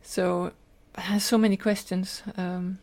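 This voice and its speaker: A woman's voice: lowish voice, little pitch movement, unhurried, darkish tone. An older woman with a Scottish accent, telling personal stories in an earnest, detailed way as an interview guest. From Scotland. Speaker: podcast guest, teacher and lecturer.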